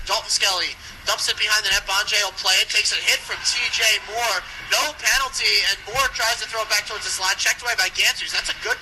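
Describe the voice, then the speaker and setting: High-pitched man calling hockey on radio. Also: pushed and bright. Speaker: ice hockey play-by-play commentator. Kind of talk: live radio sports commentary. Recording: noisy live radio sports broadcast.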